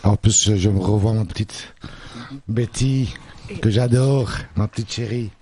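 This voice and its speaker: A man's voice: fairly low pitch, unhurried, mellow. A middle-aged man, a guest singer on a radio show, friendly and a bit hesitant, often repeating words. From Belgium, born in Sicily. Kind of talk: radio interview. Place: local radio studio.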